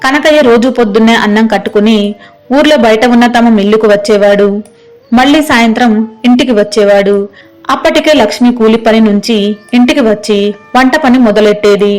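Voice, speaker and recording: A woman's voice: a little high, brisk, darkish tone, soft background music. A woman narrating a scripted moral story as a storyteller.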